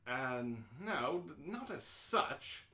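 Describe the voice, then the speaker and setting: A man's voice: on the high side, big pitch swings, very slow, bright, forceful tone, heavily acted. A man playing a flustered, polite, officious demolition foreman in an amateur radio play, hesitant and deferential. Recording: roomy, echoing live reading.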